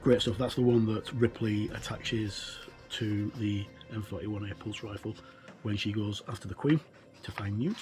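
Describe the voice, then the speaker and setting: A man's voice: lowish voice, steady tone, music in the background, poor audio. A middle-aged man giving a casual walkthrough commentary on a book, hesitant and often correcting himself. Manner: hesitant, halting, self-correcting. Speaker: game reviewer.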